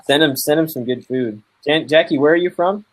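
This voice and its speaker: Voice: medium pitch, brisk. Joking call participant asking questions. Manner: joking, asks questions, laughs often. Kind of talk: casual group video call.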